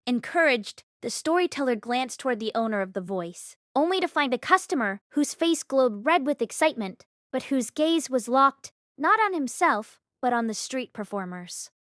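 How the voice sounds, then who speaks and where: A woman reading a novel aloud as an audiobook narrator, in an expressive storytelling style. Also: sits high, moderate pace, hardly any pauses, no room sound, studio quality. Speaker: audiobook reader.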